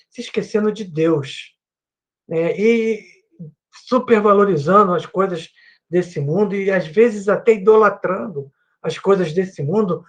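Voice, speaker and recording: Brazilian guy teaching sunday school over a computer mic, high voice, earnest, lots of little tag questions. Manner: earnest, repeats himself with many "né" tags. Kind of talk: Bible class teaching. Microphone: microphone with headphones at a computer.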